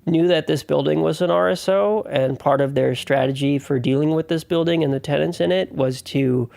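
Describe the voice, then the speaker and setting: A man's voice: fairly high pitch, normal speed, creaky, a little mellow. A man explaining things casually in an interview. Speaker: tenant being interviewed.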